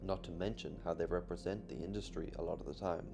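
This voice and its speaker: A man narrating his documentary in a measured, plainly read scripted voice. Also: deep voice, fairly even intonation, creaky. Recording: music behind the voice.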